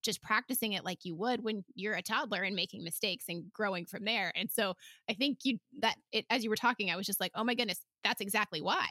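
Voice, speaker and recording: A woman's voice: medium pitch, fast, hardly any pauses, pushed and bright, dry recording, clean studio sound. A woman hosting a podcast, chatting casually with chatty, affirming reactions. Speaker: podcast host. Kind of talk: casual chat.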